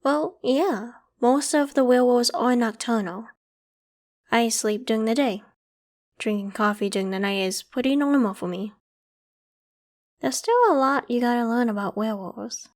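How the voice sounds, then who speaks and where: The sheltering werewolf girl of a late-night ASMR monster roleplay, acted by a woman, a lightly high voice telling you casually and kindly that you are safe with her. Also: normal speed, natural voice. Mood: friendly, playful. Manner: casual, conversational, gently protective. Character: friendly, protective half-human female werewolf, young, self-conscious. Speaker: voice actor in audio roleplay.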